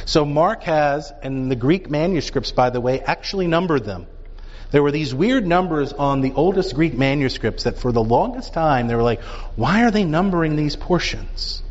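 A man teaching a Bible class, talking in an animated way and dotting his points with rhetorical questions. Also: on the high side, normal speed. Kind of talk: lecture with audience questions. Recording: dull sound with long reverb in a hall.

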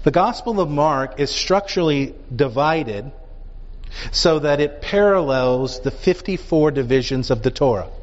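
A man's voice: a little high, a little slow. Man lecturing in a reverberant hall. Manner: animated, rhetorical questions, frequent "right?". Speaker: Bible teacher. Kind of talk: lecture with audience questions. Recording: dull sound with long reverb in a hall.

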